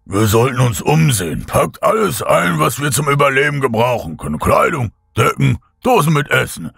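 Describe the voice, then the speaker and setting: A man voicing a stern military commander in a horror audiobook, giving orders in an urgent, rough, gravelly voice. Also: mid-range voice, big pitch swings, moderate pace, fairly bright tone, no echo, studio quality, pitched above the performer's own voice, exaggerated voice. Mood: brisk, commanding.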